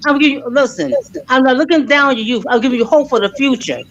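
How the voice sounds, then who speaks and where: A woman's voice: sits high, fast, slight background noise. A middle-aged woman in casual group chat, lecturing insistently and repeating herself to drive a point home. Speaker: viewing-party panelist. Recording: slightly dull lo-fi stream audio.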